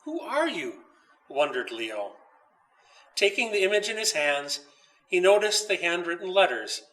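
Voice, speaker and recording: A man reading his own children's story aloud with expressive, dramatic narration. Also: sits high, unhurried, distant mic, poor audio.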